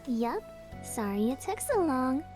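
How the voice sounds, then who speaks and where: A woman's voice: sits high, big pitch swings, measured pace, darkish tone, breathy edge, faint music behind, voice pushed up, exaggerated voice. A woman voicing a modest young female student engineer in visual novel dialogue, earnest and technical. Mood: modest, pleased.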